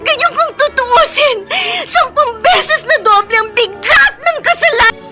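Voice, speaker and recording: An actress playing a guilty, defensive young college student in a Tagalog radio drama, plaintive and emotional, with a Filipino accent. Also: female voice, very high voice, expressive, unhurried, music in the background. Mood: tearful, angry.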